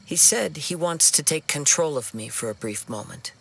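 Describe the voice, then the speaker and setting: An actor playing a gentle, composed young swordsman in a video game, calm and thoughtful, with music behind him. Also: a little high, normal speed, vocal fry, a little hiss or hum. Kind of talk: scripted game dialogue.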